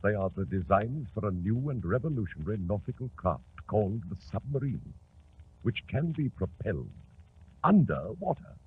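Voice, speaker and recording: An actor playing a stately, self-important British government official in formal 1940s radio drama style. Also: male voice, lowish voice, very animated, moderate pace. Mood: solemn, explanatory. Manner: formal, weighty, pompous. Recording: scratchy 1940s radio broadcast, narrow band.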